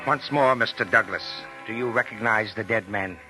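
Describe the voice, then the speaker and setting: A man playing a suspicious, insistent police detective in a 1940s radio drama, with a husky voice and clipped, accusing delivery. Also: lowish voice, moderate pace, over loud music, close to an everyday voice, rough recording. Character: pressing, suspicious male police detective interrogating suspects.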